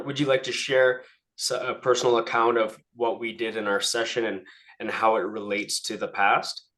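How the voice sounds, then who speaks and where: A man's voice: on the low side, a bit bright. A man coaching a group session, talking in a reassuring, conversational way and often checking in with the listener. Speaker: life coach.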